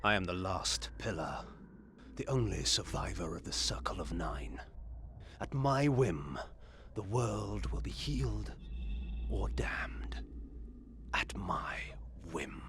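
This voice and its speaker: An actor playing an imperious, gloating vampire lord in a video game, with a grandiose, deliberate, menacing delivery. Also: male voice, sits low, animated, a bit bright, a little hiss or hum, full character voice. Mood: brooding, grandiose. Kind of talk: theatrical video game villain monologue. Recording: music behind the voice.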